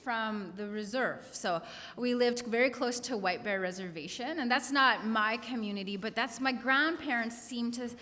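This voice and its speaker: A woman from Saskatchewan presents at a conference in an earnest, measured way. Her voice sits somewhat high. The long reverb of a hall surrounds her words. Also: sharp tone. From Saskatchewan, Canada. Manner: earnest, measured, some hesitations. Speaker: conference panelist.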